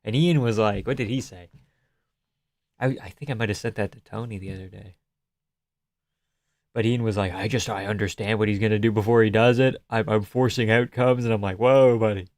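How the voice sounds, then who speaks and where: A man's voice: on the low side, fairly even intonation, brisk, darkish tone, dry recording, studio quality. A young man from Canada hosting a live stream, chatting casually and sarcastically as he reads out viewer comments and swears freely.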